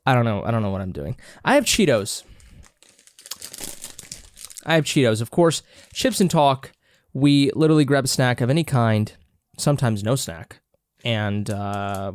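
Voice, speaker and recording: Congested male podcast host, mid pitch, rambling casual chat, dry close mic. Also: no echo. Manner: rambling, hesitant, many restarts and fillers. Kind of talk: casual solo chat while snacking. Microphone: own camera and mic, close and dry.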